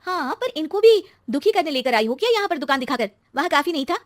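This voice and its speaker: A woman voicing an excitable, chatty young married woman in a dramatized story, expressive and easily aggrieved. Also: sits high, fast talker, no echo, clean studio sound, pitched above the performer's own voice, natural voice. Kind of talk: acted story dialogue.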